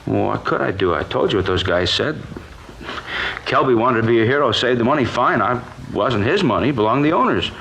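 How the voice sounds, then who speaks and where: A man's voice: medium pitch, expressive, brisk, vocal fry, a bit bright, low-quality recording. A male actor playing a nervous, colloquial American kitchen worker giving a witness account in a 1950s radio crime drama. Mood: defensive. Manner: hesitant, colloquial, defensive. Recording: old radio recording with background hiss.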